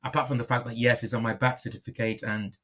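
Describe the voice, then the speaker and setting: A man gives a reflective, conversational interview as the guest. His voice sits at a medium male pitch, and he often repeats a phrase before carrying on, rewording his thought as he goes. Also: little pitch movement, quick pace, bright, forceful tone. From born in Liverpool, moved to Nigeria at five.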